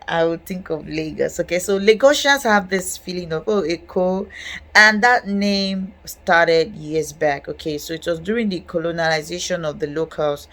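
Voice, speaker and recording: A young woman with a Nigerian accent talks straight to camera as the host of a property channel. Her voice sits a little low. She keeps a loose, conversational flow, peppered with "okay", "guess what" and quick laughs. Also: a bit bright. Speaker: real estate channel host. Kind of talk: casual talk to camera.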